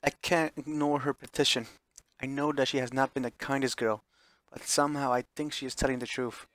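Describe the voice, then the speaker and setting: A man with an average-pitched voice streams a story game. He comments casually and reads the text out loud, tripping over the odd word and fixing it. The sound is very dry, with hardly any room to it. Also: flat delivery, brisk, no room sound. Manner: stumbles over words and corrects himself when reading. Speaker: streamer playing and narrating a visual novel.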